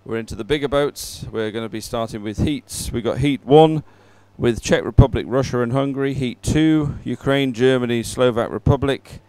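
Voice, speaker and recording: A man giving casual, informal live sports commentary in a clear voice, often hesitating as he thinks aloud. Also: mid-range voice, moderate pace, few pauses, darkish tone, no room sound.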